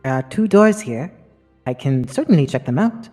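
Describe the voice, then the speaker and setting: A man's voice: medium pitch, expressive, moderate pace, warm tone, dry recording, close to an everyday voice. A man playing a composed, caring adventurer in a tabletop fantasy game, matter-of-fact in manner. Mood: calm, concerned. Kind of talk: tabletop roleplay. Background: music.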